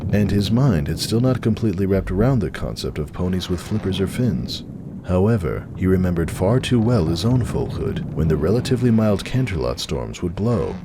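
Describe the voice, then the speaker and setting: Deep, expressive male story narrator. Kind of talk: dramatic scripted story narration. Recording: clearly noisy, storm ambience behind.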